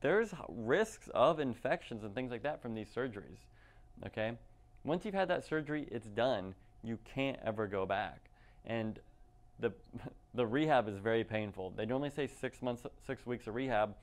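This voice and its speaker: A man's voice: lowish voice, animated, normal speed. A young man, a clinic doctor, speaking to camera in a conversational, persuasive way and asking rhetorical questions. He is recorded indoors in an office room on a lapel mic. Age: young adult. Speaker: clinic doctor giving a patient talk. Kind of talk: direct-to-camera health presentation.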